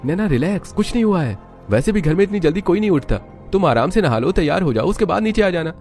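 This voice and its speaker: A man's voice: sits high, animated, fast, a little mellow, soft background music, voice pushed up. A man voicing a casual, easygoing young husband in a Hindi cartoon story. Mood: calming.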